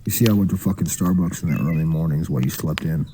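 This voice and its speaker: A man's voice: rumbling low, fast talker, mellow, slight background noise. A man doing spoken-word storytelling in a slangy, profane, casual manner.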